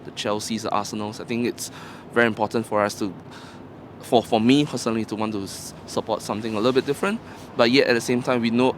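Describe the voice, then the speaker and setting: A man's voice: mid-range voice, a little hiss or hum. A man from Singapore, interviewed outdoors about being a football fan, talking in a chatty, slightly hesitant way. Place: outdoors on a city street.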